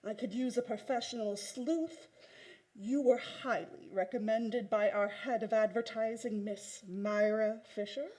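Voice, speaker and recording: A woman's voice: a little high, fairly even intonation, a little slow, darkish tone, close to an everyday voice, low-quality recording. A woman playing a prim, worried department store executive in a comic radio drama, stiffly polite and hesitant. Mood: discreet, uneasy.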